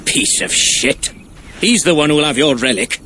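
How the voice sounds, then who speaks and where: A man's voice: a little high, very animated, unhurried, sharp tone, slight background noise, full character voice. A man voicing a cheeky, plain-spoken dwarf in a video game, chatty and wry with colloquial cursing. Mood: wry, bitter. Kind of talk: cinematic video game acting.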